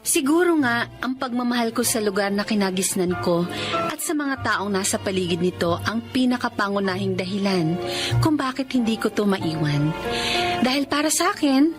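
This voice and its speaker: A woman's voice: mid-range voice, lightly acted. An actress playing an idealistic young woman who teaches village children, earnest and heartfelt, in a Filipino radio drama. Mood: heartfelt, reflective.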